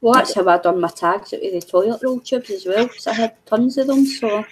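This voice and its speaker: A woman's voice: medium pitch, a little fast, a little hiss or hum, rough recording. Chatty woman rambling about crafts. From New Zealand. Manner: chatty, rambling, full of fillers. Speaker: craft channel co-host. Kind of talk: casual craft chat.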